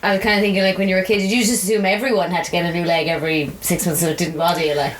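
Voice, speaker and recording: A woman's voice: fairly low pitch, steady tone, racing. A woman with an Irish accent hosting a casual interview, reacting and agreeing readily in an informal way. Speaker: interviewer, host. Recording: roomy echo, background noise.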